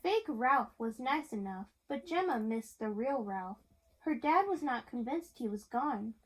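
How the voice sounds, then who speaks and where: A woman reading a picture book aloud to young listeners in an animated way, chatting and asking them questions as she goes. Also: a little high, measured pace, low-quality recording. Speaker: reader of a picture book to children. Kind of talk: read-aloud storytelling with chat to young listeners.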